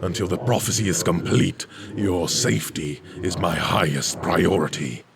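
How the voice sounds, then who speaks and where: Proud demonic servant-warrior, fantasy game. Very gravelly, low, solemn, archaic phrasing. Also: male voice, moderate pace. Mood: proud, devoted. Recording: noisy game audio, ambient sound behind.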